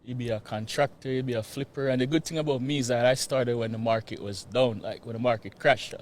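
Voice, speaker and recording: A man's voice: medium pitch, steady tone, brisk, slight background noise. A man from Jamaica with a Jamaican accent, chatting as a guest in an interview, laughing often and repeating words as he goes. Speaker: guest, real estate investor. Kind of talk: casual interview chat.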